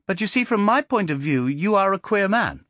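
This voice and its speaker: A man's voice: high-pitched, sing-song, moderate pace, fairly bright tone, no room sound, studio quality, pitched above the performer's own voice. A man voicing a precocious boy with a curiously precise treble, innocent and probing in manner. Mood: innocently needling.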